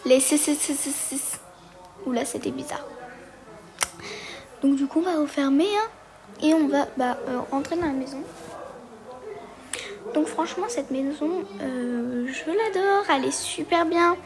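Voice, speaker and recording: A woman's voice: high-pitched, a little slow, long pauses. A woman giving casual, hesitant commentary as she walks through a game, with lots of fillers. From Portugal. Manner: hesitant, many fillers, chatty. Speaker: gaming video creator.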